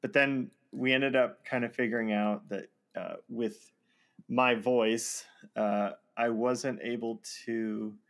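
A man, a voice actor guest in a casual conversation, self-deprecating and laughing often, with halting restarts. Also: mid-range voice, fairly even intonation, a bit bright.

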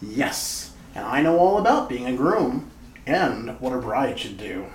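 Male actor as cheeky bellboy, officious, pestering, very animated delivery, retro radio comedy, echoey room. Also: mid-range voice, a little slow. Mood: bossy, meddling. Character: nosy, cheeky know-it-all hotel bellboy. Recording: roomy, echoing amateur radio-play recording.

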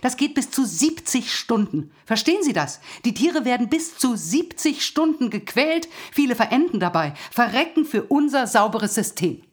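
A stern policewoman in a radio play, with a fairly high voice. She questions suspects in a confrontational, sarcastic way, full of indignation and accusation. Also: very animated, normal speed, few pauses, slightly rough. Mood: indignant, lecturing. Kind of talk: audio drama acting.

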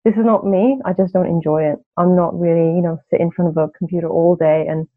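A woman's voice: lowish voice, fairly even intonation, fast. Woman on a tinny line. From Turkey. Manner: reflective, many "you know" fillers. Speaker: guest, transformational coach. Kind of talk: podcast interview. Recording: tinny phone-line audio.

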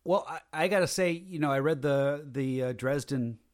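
A man co-hosting a podcast, talking things through thoughtfully in halting, restarting sentences. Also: on the high side. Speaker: podcast co-host. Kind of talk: casual chat.

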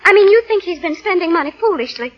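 An actress playing an anxious, genteel young wife from the American South in a 1940s radio drama. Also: female voice, very high voice, lively intonation, fast. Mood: anxious, earnest. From Southern United States. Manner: flustered, pleading. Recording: muffled vintage 1940 radio recording.

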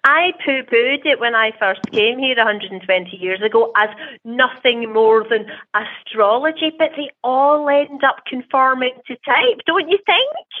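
A woman's voice: high voice, lively intonation, unhurried. Woman as a blunt Scottish ghost, thin phone-like sound. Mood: disdainful. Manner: blunt, sardonic, sweary. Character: fed-up, mischievous female Hogwarts ghost, centuries-weary. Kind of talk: improvised comedy audio sketch.

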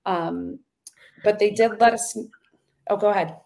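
A woman's voice: mid-range voice, fairly even intonation, measured pace, creaky, darkish tone, low-quality recording. A woman co-hosting a podcast, telling a story in casual chat with many ums and restarts. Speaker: podcast co-host.

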